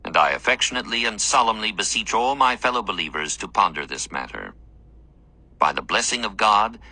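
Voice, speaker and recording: Deep-voiced man, bright and forceful devotional reading. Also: poor audio. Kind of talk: scripted devotional reading of a written text.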